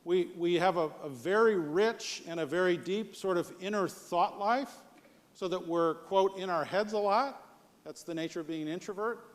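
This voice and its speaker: A man's voice: high voice, normal speed. An American man giving a live leadership presentation as a conference speaker, conversational and punctuated with rhetorical questions. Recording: long hall reverb.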